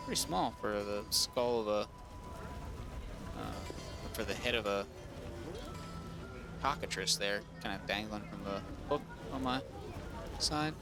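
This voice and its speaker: A man with a raspy voice casually commenting on a video game playthrough, rambling with lots of ums and restarts. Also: lowish voice, measured pace, pauses often, over music. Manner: rambling, full of ums and restarts, reads quest log aloud. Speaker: gaming streamer. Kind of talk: casual let's-play commentary.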